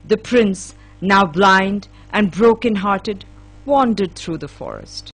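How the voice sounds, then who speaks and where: A woman's voice: mid-range voice, lively intonation, takes it slowly, a little hiss or hum. A woman narrating a children's fairy tale as a scripted storyteller.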